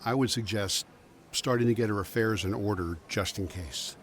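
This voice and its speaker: Man playing a frank, measured doctor. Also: on the low side, a little hiss or hum, lightly acted. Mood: grave, frank. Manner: hesitant, measured, conversational. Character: frank, cautious male doctor breaking bad news. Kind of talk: audio drama acting.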